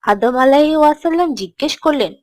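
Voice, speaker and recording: A woman playing a gentle, encouraging teacher who tells scripted religious stories to children in a cartoon. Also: sits high, lively intonation, fairly quick, no room sound, studio quality. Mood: narrating, instructive. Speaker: storyteller, teacher.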